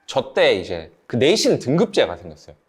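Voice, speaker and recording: A South Korean man in his thirties chats casually with fellow channel members. His voice sits at an average pitch and moves up and down in a lively, animated way as he tells his stories. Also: moderate pace, slightly rough. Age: 30s. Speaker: channel member sharing study-abroad experience. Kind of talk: casual group chat, storytelling.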